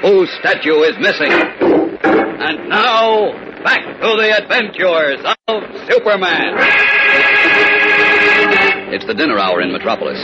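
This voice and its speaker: A man's voice: a little high, big pitch swings, slow, pauses often, over loud music. A man acting as the suspenseful, theatrical announcer and narrator of a 1940s radio adventure serial. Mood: urgent, ominous. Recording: muffled old radio broadcast.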